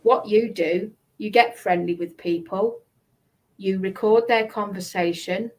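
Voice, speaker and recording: A woman's voice: mid-range voice, fairly even intonation, measured pace, low-quality recording. A woman live streamer delivering a confrontational monologue in an accusatory, emphatic, repetitive manner.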